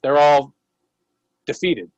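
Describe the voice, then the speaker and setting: A man who works as a theatre director, explaining in a casual panel discussion with hesitant pauses mid-sentence. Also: fairly high pitch, lively intonation, no echo, lo-fi sound.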